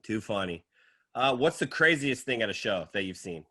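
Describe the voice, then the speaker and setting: Guy hosting a comedy podcast, chill and jokey, quick little reactions. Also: on the low side. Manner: relaxed, joking, quick reactions. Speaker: podcast host. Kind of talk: casual interview chat.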